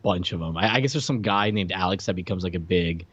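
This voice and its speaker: A man's voice: sits low, quick pace, fairly bright tone, breathy edge, dry recording. A man co-hosting a podcast in casual review chat, informal, rambling and jokey. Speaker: podcast co-host. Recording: slightly dull, lo-fi sound.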